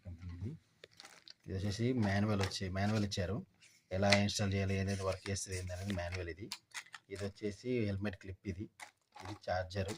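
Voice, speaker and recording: A man talks through an unboxing in a casual, explanatory way with English words mixed in. His voice sits a bit low and moves fast. The recording is home-made, with clear noise around him. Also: flat delivery. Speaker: product reviewer. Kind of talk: casual unboxing and installation walkthrough.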